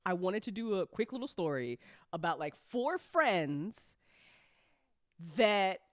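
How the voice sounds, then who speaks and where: Female writer-host, lively and playful, loose and sweary casual chat, mid pitch, dry close sound. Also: slow pace, no echo. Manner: playful, swears freely, laughs often. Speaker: audio drama writer and after-show co-host. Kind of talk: animated casual group chat.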